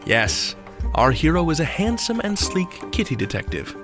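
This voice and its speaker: A man's voice: on the high side, wildly swooping pitch, normal speed, a bit bright, faint music behind. An American man hosting an audio drama countdown podcast in a playful, slangy, theatrical manner. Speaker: podcast host.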